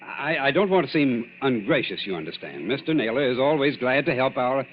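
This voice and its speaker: Actor as pompous secretary, muffled old radio. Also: male voice, medium pitch, animated, a little fast, natural voice. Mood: outraged. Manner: pompous, formal. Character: officious, self-important male secretary to a rich tycoon. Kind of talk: 1940s radio acting. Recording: muffled, faintly noisy old radio recording.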